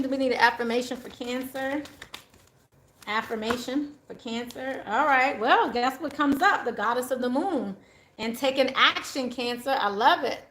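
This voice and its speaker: A woman's voice: high voice, fairly bright tone, reverberant. A woman giving a casual, chatty tarot reading to her viewers, laughing often as she goes. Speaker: tarot reader.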